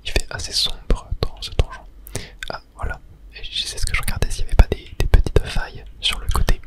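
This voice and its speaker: Someone softly whispering calm, soothing ASMR commentary while playing a video game, describing each step as it goes. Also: a little fast. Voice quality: soft unvoiced whisper. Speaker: video game streamer, ASMR channel host.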